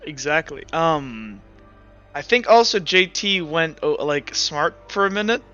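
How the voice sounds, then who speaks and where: A man's voice: on the high side, lively intonation, a little slow, sharp tone. A young man as game master, narrating the scene in second person with hesitant fillers and restarts. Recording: slightly muffled, with faint music.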